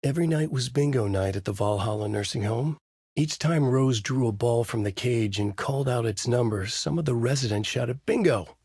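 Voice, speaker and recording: An actor playing a brooding writer who narrates his dark thriller in a grave, deliberate, noir style, as in a video game. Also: medium pitch, breathy edge, no room sound, clean studio sound. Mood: grim. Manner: grave, deliberate, moody storytelling.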